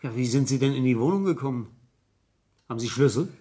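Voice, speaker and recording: An actor playing a shrewd, insistent police inspector in a 1970s German radio crime drama, questioning deliberately and sceptically. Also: male voice, medium pitch, lively intonation, dark tone, husky. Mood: probing.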